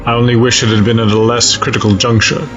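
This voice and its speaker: A man playing a cold, resolute alien space-fleet admiral in a sci-fi audio drama, speaking in formal, measured, stiff diction. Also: medium pitch, little pitch movement, highs cut off, music in the background, close to an everyday voice. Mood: grave, resolute.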